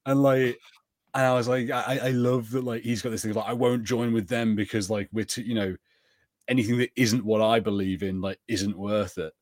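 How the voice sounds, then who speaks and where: A man's voice: fairly low pitch, fairly even intonation, moderate pace, a little mellow, no echo, studio quality. A man co-hosting a podcast, chatting casually and rambling with many fillers and restarts. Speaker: podcast co-host. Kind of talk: casual chat.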